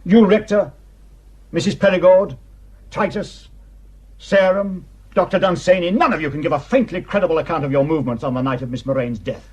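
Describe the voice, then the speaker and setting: An actor playing a stern, accusing police superintendent in a radio drama, with formal, clipped questioning. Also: male voice, on the high side, brisk, breathy edge, muffled recording.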